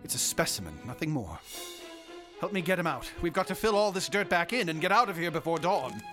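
Brief: a brusque young radio-drama scientist, condescending and clinical, with a lively, slightly high delivery. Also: male voice, brisk, faint music behind. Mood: driving. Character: cold arrogant young male medical student. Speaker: reanimating scientist.

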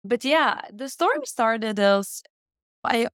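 A woman's voice: a little high, animated, takes it slowly, a bit bright, no echo, studio quality. A woman from Lithuania with a Lithuanian accent, speaking as an interview guest and telling her personal story in an earnest, emphatic way. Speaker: interview guest, health entrepreneur.

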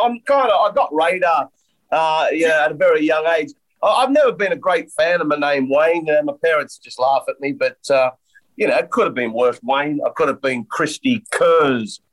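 A man's voice: a little high, a bit bright, low-quality recording. A man co-hosting a podcast in casual, blunt, jokey chat, sounding nasal and blocked up. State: congested, stuffy nose. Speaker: podcast co-host and golf commentator.

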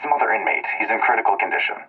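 A tinny phone line carries a low, husky male detective in an audio drama. He is gruff and exasperated, with swings in pitch as he snaps out his words. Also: expressive, very fast. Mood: curt, exasperated. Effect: telephone filter.

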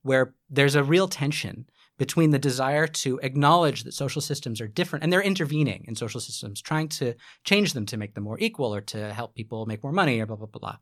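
A man co-hosting an academic podcast, explaining ideas in a measured way. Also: mid-range voice, expressive, fairly bright tone. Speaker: podcast co-host. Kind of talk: casual academic discussion.

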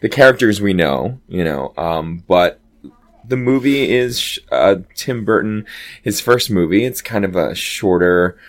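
A man with a low voice, an actor telling stories in a casual interview and acting out quoted lines. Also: unhurried. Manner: animated, chatty, acts out quoted dialogue. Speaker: Broadway actor guest.

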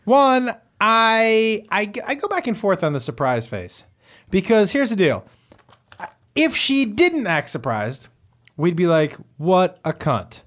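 A young man with a fairly high voice co-hosts a podcast. He jokes, teases his friends and tells stories with a sarcastic edge. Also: huge pitch swings, takes it slowly, a bit bright. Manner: joking, teasing, sarcastic. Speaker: podcast co-host. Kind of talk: casual podcast chat.

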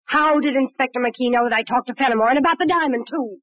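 A woman's voice: high-pitched, quick pace, no echo, natural voice. Woman acting a brassy showgirl, tinny old radio. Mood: accusing, sarcastic. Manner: wisecracking, slangy, cynical. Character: brassy, sardonic jilted showgirl, defiant under questioning. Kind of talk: old-time radio crime drama acting. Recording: muffled, phone-like vintage radio recording.

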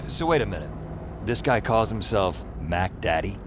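Man playing casual magic novice, low voice, hesitant, laid-back, audio drama, vehicle noise around. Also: expressive, moderate pace, natural voice. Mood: curious. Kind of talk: naturalistic audio fiction acting.

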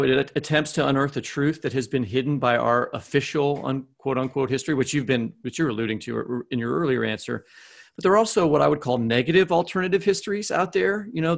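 Creaky-voiced man co-hosting a podcast. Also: medium pitch. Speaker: podcast co-host. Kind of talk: opinionated interview question.

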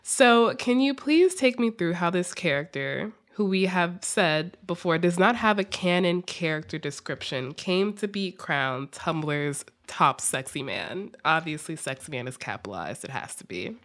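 A woman's voice: fairly low pitch, animated, measured pace, few pauses. A woman hosting a podcast in a casual, conversational interview style, informal and a little wry. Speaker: podcast host.

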